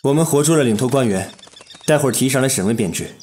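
A man's voice: mid-range voice, animated, fast talker, darkish tone, slight background noise, lightly acted. Man playing a courteous, composed young bandit fighter. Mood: admiring report. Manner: polite, composed, formal. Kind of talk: Chinese period audio drama acting.

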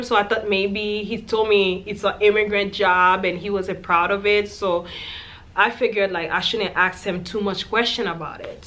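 A woman's voice: medium pitch, a bit bright. Young woman vlogger, casual storytime to camera in a small room, candid and chatty. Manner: chatty, candid, lots of "like" and "you know".

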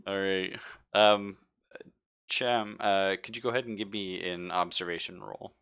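Bright-voiced, low-pitched man running a game. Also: steady tone, a little slow, smooth. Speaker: game master. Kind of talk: casual narration of a tabletop game.

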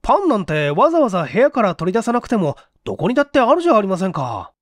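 A man's voice: high voice, wildly swooping pitch, quick pace, dry recording, studio quality, voice pushed up, full character voice. A man voicing a courteous, inquisitive apartment manager who is devoted and easily surprised, in a mystery audio drama. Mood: puzzled. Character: Okamoto, polite, puzzled, devoted male apartment manager.

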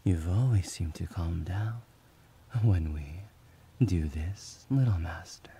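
A man voicing a devoted, doting young male servant in an ASMR roleplay, whispering softly and soothingly. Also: deep voice, slow, slight background noise. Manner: soft whispering, affectionate and soothing.

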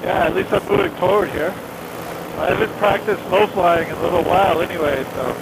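High-voiced man over engine noise. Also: a little fast, vocal fry. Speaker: paramotor pilot. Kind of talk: casual intercom chat. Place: outdoors, in flight on a paramotor. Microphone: helmet headset intercom. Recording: helmet intercom with loud engine noise.

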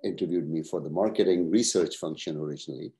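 A man in his sixties, originally from Pakistan, answering interview questions over a video call at a measured pace, with many "uh" pauses. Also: extremely low pitch, dark tone. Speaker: guest, professor and retired executive.

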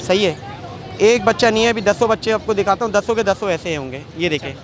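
A young man, a bird seller, pitching his birds in eager, quick patter to a vlogger at an outdoor market on a handheld mic. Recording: noisy crowded outdoor market. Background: crowd chatter.

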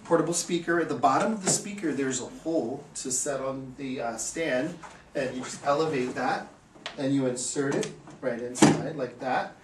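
A man's voice: mid-range voice, normal speed. Male instructor, forties, plain step-by-step demo delivery, distant mic in a reverberant meeting room. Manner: plain, methodical step-by-step explaining. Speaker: instructor for an equipment rental company. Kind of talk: hands-on equipment tutorial. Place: small indoor meeting room. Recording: roomy echo, distant mic, background hiss.

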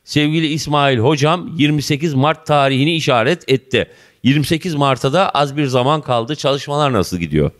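A man in his fifties interviewing a student in a school office, effusive and warm in address, holding a handheld mic. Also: on the high side, a little fast. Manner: effusive, addresses viewers and guest as "dear". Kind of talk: local TV interview.

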